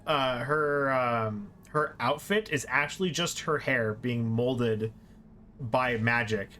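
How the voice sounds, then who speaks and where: A man's voice: mid-range voice, slow, sharp tone, a little hiss or hum. A man streaming a game with casual, explanatory commentary and exasperated asides. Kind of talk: casual live gameplay commentary. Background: game music.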